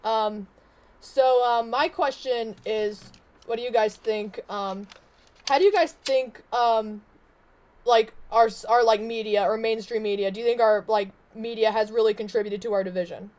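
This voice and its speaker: A woman's voice: on the high side, steady tone, moderate pace, a little mellow. A woman recovering from a cold, casually moderating a discussion with hesitant fillers.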